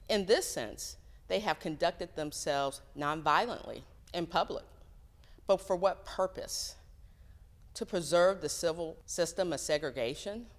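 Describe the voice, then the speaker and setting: A woman giving a solemn reading of written words, measured and deliberate, phrase by phrase. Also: low voice. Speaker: church member reading aloud. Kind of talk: solemn reading of quotes and a letter. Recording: long echoing reverb.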